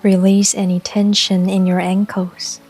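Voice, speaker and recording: Woman, guided meditation narrator, very slow pace, soft husky breathy voice, soothing, music and rain behind. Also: mid-range voice. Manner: calm, soothing, unhurried delivery with long pauses. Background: soft music and rain sounds.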